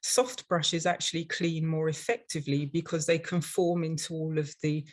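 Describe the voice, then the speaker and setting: Lower-pitched older woman, London English accent. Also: normal speed. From London, England. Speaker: dental hygienist and instructor presenting. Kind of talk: prepared webinar presentation.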